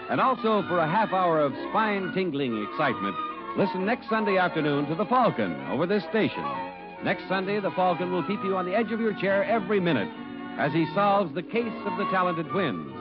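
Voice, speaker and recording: Male American radio announcer, high animated voice, polished, emphatic, 1950s style, music behind, muffled recording. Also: brisk.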